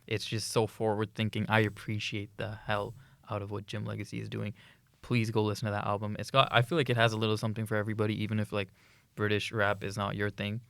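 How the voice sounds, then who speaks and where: A man's voice: on the low side, monotone, fast talker, creaky, fairly bright tone, no echo, clean studio sound. A young man co-hosting a student podcast, chatting eagerly about music with frequent restarts and laughs. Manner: enthusiastic, laughs often, many restarts. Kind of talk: casual chat about music.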